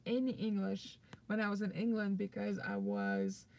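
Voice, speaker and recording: A young woman with a Russian accent, her voice heavy with vocal fry, chats loosely at a middling pitch. She rambles through her answers with lots of "like" and "um" while replying to viewers on a live stream. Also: big pitch swings, moderate pace, a little mellow, low-quality recording. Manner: loose and rambling, many "like" and "um" fillers. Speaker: vlogger hosting a live stream. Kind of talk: casual live-stream chat, reading viewer comments.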